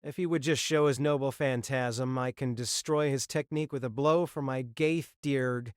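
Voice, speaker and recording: An earnest, confident lancer knight played by a man in an audio drama. His voice is smooth and clear at a middling pitch, formal and assured, in a dry recording. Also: fairly even intonation, moderate pace, no room sound, natural voice. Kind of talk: dramatised audio fiction acting.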